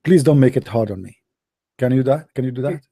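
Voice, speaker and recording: A man hosting a combative call-in debate, his voice tired and dry, who keeps saying "my friend" and often clears his throat. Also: mid-range voice, animated, brisk, dark tone. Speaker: host of an online call-in debate.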